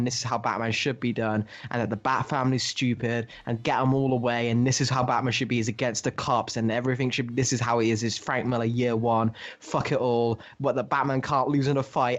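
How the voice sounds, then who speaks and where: A man's voice: medium pitch, highs cut off. A man co-hosting a podcast, giving emphatic, opinionated, sweary takes in a casual discussion. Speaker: podcast co-host. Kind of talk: casual comic book discussion.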